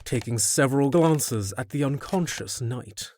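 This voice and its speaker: A man's voice: mid-range voice, lively intonation, measured pace, a little mellow, slight background noise. A man narrating a scripted fantasy audio drama in a descriptive storytelling manner.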